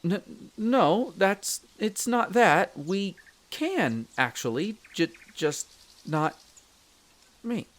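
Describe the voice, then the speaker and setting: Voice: medium pitch, extremely slow. A performer playing a young male elf explorer, a chatty, friendly guide speaking to the listener in an audio roleplay. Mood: flustered. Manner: expressive, chatty, stammers when flustered.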